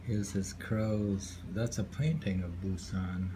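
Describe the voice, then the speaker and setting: A man reading haiku aloud with casual commentary, in an unhurried, musing way with pauses between phrases. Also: low voice, steady tone. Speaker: poetry reader and commentator. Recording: clearly noisy home recording with ambience.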